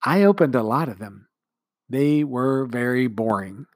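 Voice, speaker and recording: A man's voice: mid-range voice, expressive, a little slow, no room sound, studio quality, natural voice. A man voicing a self-assured little girl who speaks plainly and bluntly. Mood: matter-of-fact.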